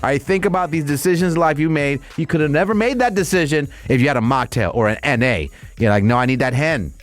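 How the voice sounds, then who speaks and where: A man's voice: a little high, lively intonation, fast, faint music behind. A man hosting a morning radio show, chatting loosely and laughing often with his co-hosts. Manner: loud, laughs often, joking. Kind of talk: casual morning radio banter.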